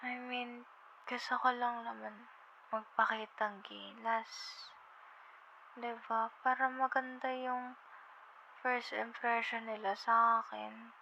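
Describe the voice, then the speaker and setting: Drowsy slow-talking Filipina girlfriend, acted by a woman. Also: a little high, little pitch movement, creaky, pushed and bright, muffled recording, a little hiss or hum, full character voice. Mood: tired, anxious confiding. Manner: drowsy, tender, hesitant, slips between Tagalog and English. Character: sleepy affectionate young girlfriend on a late-night phone call. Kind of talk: intimate ASMR girlfriend roleplay.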